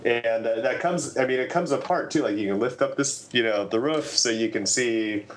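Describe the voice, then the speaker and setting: A middle-aged man chatting casually as a podcast panelist, halting and repeating words as he explains. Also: mid-range voice, slight background noise.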